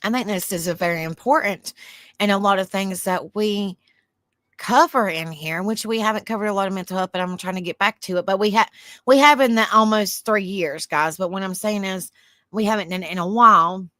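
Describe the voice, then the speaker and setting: A woman with a Southern US accent and a soft, soothing voice hosts a livestream. She chats in a rambling way, reads aloud and answers viewer comments. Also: medium pitch, a little fast, no echo, studio quality. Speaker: livestream host. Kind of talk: casual livestream chat, reading aloud.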